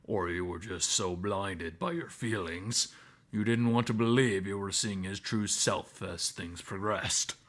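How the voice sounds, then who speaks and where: A man playing a calm, blunt anthro student medic in a visual novel, speaking in a measured, clinical way. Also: lowish voice. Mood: probing.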